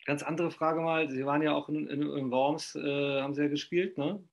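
A man's voice: fairly high pitch, steady tone, fairly quick, vocal fry. A middle-aged man interviewing a guest over a video call from home. He rambles through long questions full of fillers and restarts. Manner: rambling, hesitant, frequent fillers. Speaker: interviewer, magazine host. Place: home room, indoors. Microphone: video call webcam.

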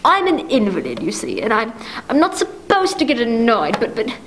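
A very high-voiced, querulous bedridden wife acted by a young woman in a vintage thriller play. She is imperious and anxious, stammering and halting as she goes. There is some noise in the recording. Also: huge pitch swings, fairly bright tone, exaggerated voice. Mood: indignant, exasperated. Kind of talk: stage adaptation of a 1940s radio thriller. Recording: noticeable background noise.

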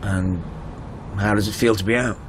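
An actor playing a disapproving, short-fused husband, curt and probing, in a naturalistic radio drama. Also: male voice, fairly even intonation, moderate pace, close to an everyday voice. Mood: guarded. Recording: noisy car interior, vehicle rumble. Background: moving vehicle noise.

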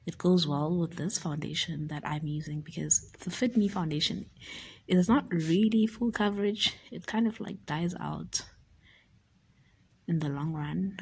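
A young South African woman talking through her makeup routine in a soft, often half-whispered, unhurried way, in a small room. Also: on the low side, gravelly, slightly rough, rough recording. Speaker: beauty YouTuber. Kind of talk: casual makeup tutorial talk.

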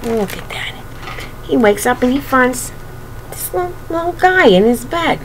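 A woman's voice: high-pitched, animated, unhurried, slight background noise, poor audio. An older woman reading a picture book aloud to young children, with chatty, playful, singsong asides. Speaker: children's storybook reader and show host. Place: bedroom at home.

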